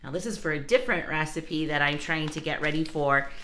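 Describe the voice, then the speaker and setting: Woman, low voice with a bright edge, a bit hoarse from a cold; chatty vlog host talking to camera. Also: fairly quick, a little hiss or hum. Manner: chatty, talks straight to viewers with asides. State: hoarse from a cold, losing her voice. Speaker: vlogger showing a grocery haul. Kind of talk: casual chatty vlog talk.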